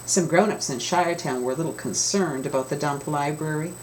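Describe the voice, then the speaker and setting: A woman reading a children's storybook aloud with expressive, dramatic emphasis, as an author telling her own tale. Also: low voice, a little fast, slight background noise, low-quality recording.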